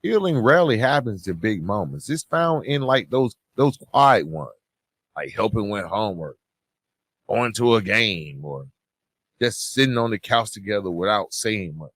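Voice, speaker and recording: Low-voiced man with lively, swooping intonation. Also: a little slow, dry recording. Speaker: podcast host. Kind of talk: reflective advice talk to listeners.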